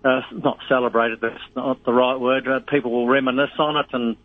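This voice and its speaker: A middle-aged man with a New Zealand accent, a radio host calling in as a guest, talking in a rambling, halting way with many fillers. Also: medium pitch, little pitch movement. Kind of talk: casual radio chat. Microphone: phone line. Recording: tinny phone line.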